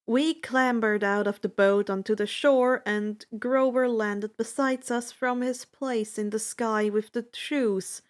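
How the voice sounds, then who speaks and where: Slow, higher-pitched woman narrating a story. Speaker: fan fiction narrator. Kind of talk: expressive first-person story narration.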